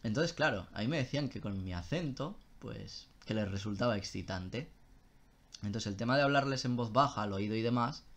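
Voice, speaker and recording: A young man, speaking in a fairly low voice. He talks loosely and casually on a live stream, swearing now and then and breaking off mid-sentence. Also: normal speed, a bit bright. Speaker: streamer answering viewer questions.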